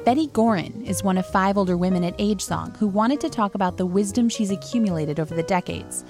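A woman narrating a radio feature report in a light, measured way. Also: medium pitch, music in the background.

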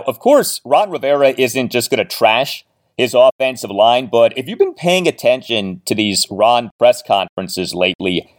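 A man presenting sports commentary as a podcast host, at a middling pitch. He speaks deliberately and emphatically, setting out statistics and analysis in full, carefully built sentences.

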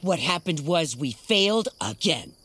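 A woman's voice: deep voice, big pitch swings, unhurried, a bit bright, a little hiss or hum, exaggerated voice. An actress in an audio drama playing a stern, exacting occultist woman, grave and blunt as she gives instructions. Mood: angry, scornful. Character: stern, exacting female occultist ritual leader. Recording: music bed behind dialogue.

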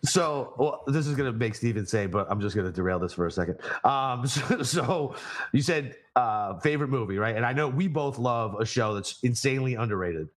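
A young man hosting a podcast, chatting casually in an animated way and swearing freely. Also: fairly quick. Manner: animated, swearing, jumps up in pitch. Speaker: podcast host.

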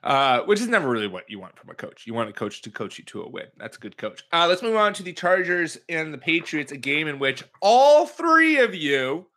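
A man's voice: a little high, very animated, a little fast, few pauses, fairly bright tone. A man hosting a casual sports podcast, joking around and slipping in and out of put-on accents. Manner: jokey, puts on shifting accents. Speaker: podcast host.

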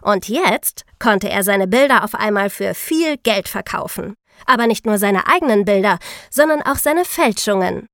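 A woman's voice: medium pitch, lively intonation, moderate pace, a bit bright, no room sound, clean studio sound. A woman playing a young podcast reporter, telling true-crime history in scripted, conversational narration. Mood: engaged.

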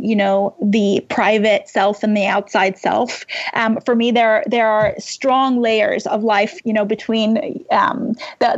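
A woman's voice: a little high, lo-fi sound. A woman from Iran speaking as an interview guest, thinking aloud with hesitant, reflective phrasing. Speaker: podcast guest, writer.

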